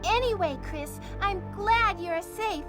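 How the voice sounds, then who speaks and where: A woman's voice: very high voice, slow pace. A woman voicing an earnest, eager young female medic with a stiff, overdone delivery. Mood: relieved. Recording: game audio with loud music.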